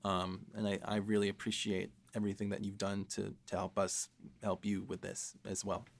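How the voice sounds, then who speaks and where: A man's voice: lowish voice, monotone, normal speed, vocal fry. A man speaking casually as a host in a roundtable podcast discussion. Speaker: podcast host and audio drama writer.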